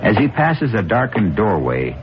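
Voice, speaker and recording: A low-voiced urbane, ominous radio mystery host. He narrates theatrically with deliberate pauses and sweeping intonation. Loud music plays along on the vintage recording. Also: huge pitch swings. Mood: measured, ominous. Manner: deliberate, dramatic, measured pauses. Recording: muffled old radio recording.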